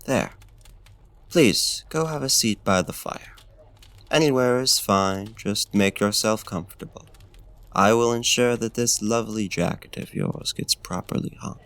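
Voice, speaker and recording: Man playing a slow, deep, courtly vampire. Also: fairly bright tone, no room sound. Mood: attentive, hospitable. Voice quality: low, gravelly. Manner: formal, archaic, courteous and flirtatious phrasing. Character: courtly male vampire host, smooth, gently menacing and seductive. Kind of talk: ASMR roleplay, slow and intimate.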